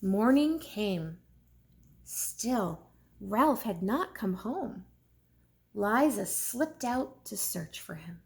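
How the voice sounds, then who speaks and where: A woman reading a storybook aloud like a teacher, expressive and deliberate for young listeners. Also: medium pitch, gravelly.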